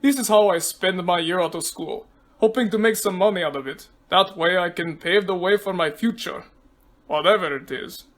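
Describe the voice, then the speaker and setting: A woman's voice: lowish voice, normal speed, natural voice. A woman voicing a bulky, intimidating young Russian man in a story, speaking formally with a Russian accent. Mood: earnest. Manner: formal, stiff phrasing.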